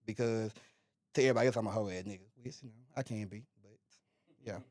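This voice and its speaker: A young Mississippi man with a Southern accent and a high-pitched voice, speaking fast in a laid-back, low-key way. The sound is dry and close. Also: a little mellow, no room sound. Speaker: rapper guest. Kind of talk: casual chat.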